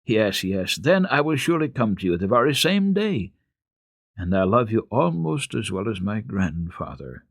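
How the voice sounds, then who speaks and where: A man's voice: lowish voice, sing-song, moderate pace, vocal fry, no echo, clean studio sound, heavily acted. A man voicing an earnest, affectionate, tearful little girl with a pleading, impulsive manner in a dramatic children's storybook reading. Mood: tearful, decided.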